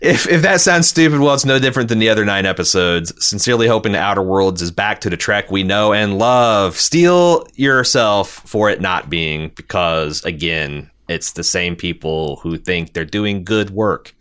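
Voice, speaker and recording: Bright, forceful man hosting a podcast. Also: fairly low pitch, expressive, normal speed, hardly any pauses, clean tone. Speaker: podcast co-host reading listener feedback. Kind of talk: casual chat and reading listener messages aloud.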